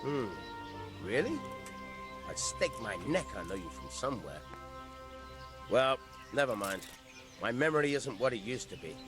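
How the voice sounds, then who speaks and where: An actor playing a blunt, mocking, hard-drinking combat master in a video game drama. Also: male voice, medium pitch, sing-song, measured pace, pauses often, music in the background. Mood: gruff mockery. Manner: jocular, blunt, sardonic.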